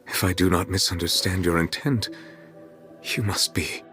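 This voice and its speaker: A dragon sovereign in a game cutscene, low-voiced and formal. He sounds grave and restrained, with an earnest sorrow, over faint music. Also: moderate pace, fairly bright tone. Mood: grave, questioning. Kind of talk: scripted video game cutscene acting.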